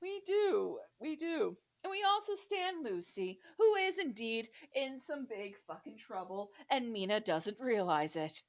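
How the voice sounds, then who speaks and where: A woman hosting a podcast in casual, humorous chat, animated and giggly, swearing freely. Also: a little high, a little slow, hardly any pauses. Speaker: podcast host.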